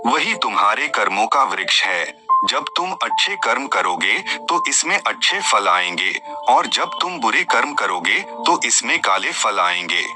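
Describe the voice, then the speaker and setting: A man acts out a solemn god who teaches moral lessons in a children's story. His voice is lowish and moves in lively swings, with music playing behind it. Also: measured pace. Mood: instructive. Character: Shiva, calm instructive male god in a dream.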